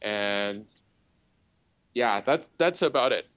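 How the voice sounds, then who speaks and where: A man, a startup founder answering interview questions in a halting, self-mocking way. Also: on the low side, animated, fairly bright tone, smooth, dull audio. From Taiwan. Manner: halting, searching for words, self-mocking humor.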